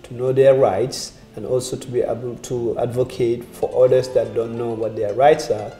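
A man's voice: medium pitch, little pitch movement, moderate pace, mellow, roomy sound, faint music behind. A man from the Gambia, interviewed, speaking earnestly about community. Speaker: community member. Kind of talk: interview testimony.

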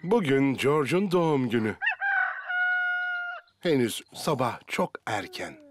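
A man's voice: mid-range voice, big pitch swings, slow. A man as the storybook narrator of a children's cartoon, reading scripted narration. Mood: storytelling. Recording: loud music mixed underneath.

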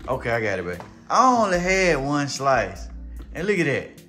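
A man's voice: medium pitch, sing-song, normal speed, bright, forceful tone. A middle-aged man chatting casually and playfully in colloquial speech as co-host of a family vlog, often repeating himself. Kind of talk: casual kitchen vlog chat. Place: home kitchen. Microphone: camera on tripod at a distance. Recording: roomy echo, distant mic, background music.

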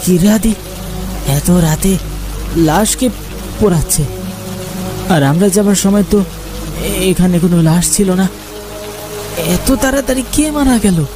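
A man's voice: high voice, animated, measured pace, pauses often, pitched above the performer's own voice. A man voicing a wary, uneasy young man in a ghost cartoon, hesitant and questioning. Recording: music under the voice.

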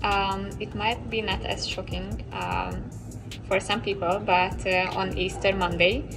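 A young woman from Hungary answering interview questions outdoors, slow and hesitant with many fillers. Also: mid-range voice, little pitch movement, creaky, recorded from a distance, poor audio. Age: young adult. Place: outdoor courtyard by glass building. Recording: music underneath.

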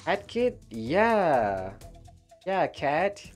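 Slow, phlegmy man streaming a game. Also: a little high, big pitch swings, soft background music. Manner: rambling, chats with viewers. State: phlegmy, recovering from flu. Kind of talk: casual live gameplay commentary.

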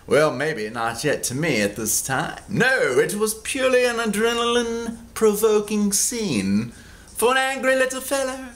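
A man in his forties from Kansas reads his memoir aloud into a close webcam in a small room. His voice sits high and leaps around freely, and he takes his time. He delivers the lines with sardonic, theatrical bite, breaking into loud outbursts for emphasis. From Kansas, USA. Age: 40s. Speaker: author reading his own book. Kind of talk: dramatic memoir reading. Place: small indoor room at home.

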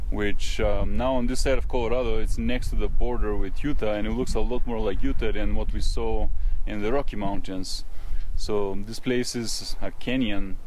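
A man's voice: lowish voice, fairly even intonation, normal speed, a little mellow. A man talking casually as a travel vlogger, thinking aloud in a conversational way. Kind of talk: casual travel vlog commentary to camera. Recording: noisy outdoor and car background.

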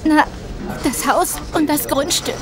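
A woman's voice: high voice, a little slow, music in the background. An actress playing an anxious, devoted young female carer, earnest and hesitant, in an audio drama. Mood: distraught. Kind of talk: German audio drama acting. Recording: noisy ambience behind.

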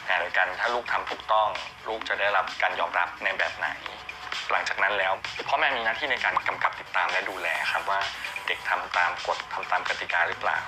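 Deep-voiced male expert explaining. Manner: steady, explanatory, with frequent spoken fillers. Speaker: expert guest. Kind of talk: explanatory interview answers. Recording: loud music under the voice.

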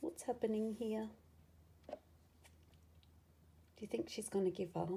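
A woman reading a picture book aloud to children, with expressive, dramatic emphasis and asides to the listener. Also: medium pitch, unhurried, vocal fry, a little hiss or hum.

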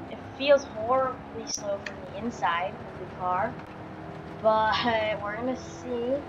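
A tired woman with a scratchy voice chatting casually through a racing game session. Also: fairly high pitch. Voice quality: scratchy morning voice. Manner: chatty, thinks aloud, counts down launches. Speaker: gaming channel host. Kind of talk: casual gameplay commentary. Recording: loud car engine game audio behind. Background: racing game engine noise.

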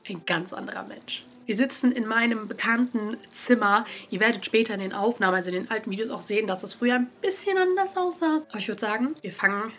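A young woman talks to her camera from her bedroom, lively and chatty. Her voice is a bit nasal because she has a cold, and she is picked up by the camera's own mic at desk distance. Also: a little high, fast, few pauses. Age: young adult. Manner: chatty, animated, exclaiming. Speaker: YouTuber. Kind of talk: casual vlog chat. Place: bedroom at home.

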